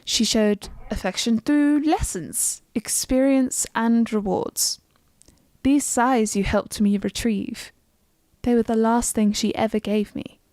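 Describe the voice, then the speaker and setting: A woman's voice: on the high side, takes it slowly, a little mellow, no room sound. A woman voicing a young Nord warrior woman in a fantasy game, bloodthirsty yet wistful about her family. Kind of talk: scripted game dialogue.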